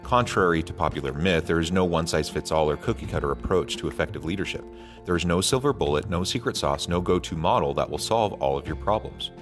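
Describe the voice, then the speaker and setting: Deep male promo narrator over music. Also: fast. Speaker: podcast host reading promos.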